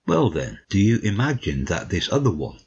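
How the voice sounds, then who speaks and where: Deep, husky man narrating a story. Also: sing-song, moderate pace, a little mellow, lo-fi sound. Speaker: audiobook narrator. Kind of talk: literary first-person narration in a dramatic reading.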